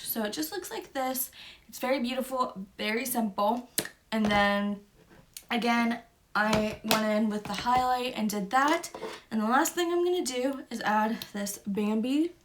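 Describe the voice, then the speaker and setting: A woman's voice: fairly high pitch, unhurried, noisy background. A young woman with a stuffy nose from a cold, talking through a makeup tutorial step by step in an easygoing way. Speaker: beauty vlogger.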